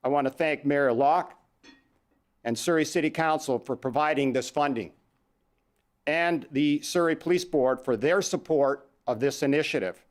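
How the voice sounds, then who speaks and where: A man with a Canadian accent, a police chief giving a formal statement at a press conference, measured and deliberate. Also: fairly high pitch. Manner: measured, reads numbers out slowly.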